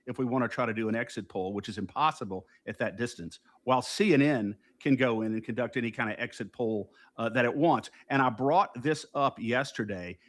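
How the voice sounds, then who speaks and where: A man's voice: medium pitch, a little fast, hardly any pauses. A man, co-hosting a political talk show, talking emphatically and indignantly with frequent restarts. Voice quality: loud voice. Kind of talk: casual political discussion.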